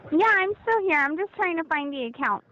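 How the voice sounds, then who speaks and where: A woman's voice: extremely high pitch, lively intonation, fairly quick, sharp tone. A polite, patient woman working as a customer support agent, speaking over a telephone line. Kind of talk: customer service phone call. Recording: dull, band-limited phone line.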